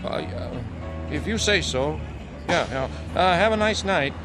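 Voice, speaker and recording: An actor playing an easygoing, folksy city bus driver with a casual way of talking in a radio drama. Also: male voice, fairly high pitch, huge pitch swings, unhurried, music in the background, exaggerated voice. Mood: casual, doubtful. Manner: casual, calling out stops.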